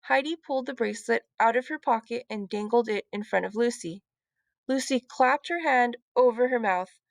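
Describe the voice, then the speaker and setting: A woman reads a children's story aloud as narrator. Her voice is notably high, with a creaky edge. The recording is close and dry, with little room sound. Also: normal speed, no echo.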